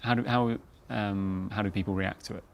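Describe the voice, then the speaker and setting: Man, podcast interviewer, very low, flat intonation, tentative rephrasing, casual. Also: moderate pace, vocal fry. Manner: tentative, rephrases questions as he goes.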